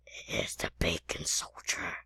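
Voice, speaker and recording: A woman voicing a menacing, gloating villain in an amateur horror story, with a harsh, hissing rasp and slow threats. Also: sharp tone, no room sound. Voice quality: harsh, hissing, raspy whisper. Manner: slow, threatening, repeating phrases. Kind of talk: amateur improvised horror play-acting.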